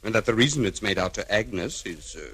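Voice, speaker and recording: Smooth crooked lawyer, swooping intonation. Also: male voice, lowish voice, lively intonation, a bit bright. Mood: uneasy, probing. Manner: hesitant, drawn-out politeness. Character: smooth, hesitant crooked male defense lawyer. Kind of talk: 1940s radio crime serial acting. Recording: old 1940s radio recording.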